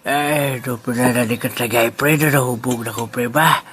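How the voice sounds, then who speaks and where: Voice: medium pitch, fairly even intonation, background noise, lightly acted. A performer voicing a grumbling, aggrieved old man in an amateur radio play. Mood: tipsy, grumbling. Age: over 60. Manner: complaining and mournful, quick to anger. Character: grieving, grumbling old man robbed of his pots.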